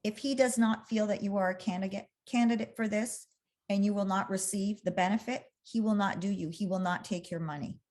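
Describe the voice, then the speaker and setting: A woman's voice: mid-range voice, fairly even intonation, a little fast, a little mellow. A woman in her sixties, a guest on a talk show, telling her story in an earnest, chatty way. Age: 60s. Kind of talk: casual interview chat.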